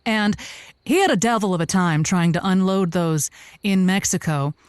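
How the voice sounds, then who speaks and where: Female, actress playing flamboyant flirt of a comedic audio-drama host; mid-pitched, very dry close sound, grandly suggestive delivery. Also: darkish tone, no echo, natural voice. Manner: theatrical, suggestive, self-dramatizing. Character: flamboyant, flirtatious woman advice host spinning tall romantic tales.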